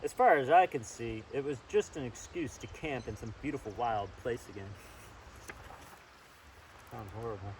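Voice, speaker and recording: A man reads a storybook aloud in a chatty, informal way, drifting easily between the text and his own remarks. His pitch is ordinary for a man. He is outdoors and a little way from the microphone, with clear outdoor and traffic noise around him. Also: moderate pace, long pauses. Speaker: storybook reader. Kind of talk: informal read-aloud with personal asides. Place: outdoors by a river. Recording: distant outdoor mic with steady background noise.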